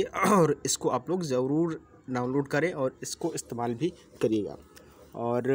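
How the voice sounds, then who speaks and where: A man, presenting like a tech channel host in a chatty, instructional way. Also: medium pitch. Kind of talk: presenter-style app tutorial to viewers. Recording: clearly noisy amateur recording.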